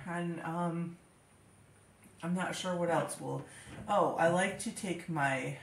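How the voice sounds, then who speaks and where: A woman with a stuffed-up, congested voice talks her way through chopping and bagging food in her home kitchen, filling pauses with easygoing ums. The room rings with echo, and steady background noise sits under her voice. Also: lowish voice, gravelly. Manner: chatty, thinks aloud with fillers. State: stuffed-up nose. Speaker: home cook vlogger. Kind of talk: casual kitchen demonstration.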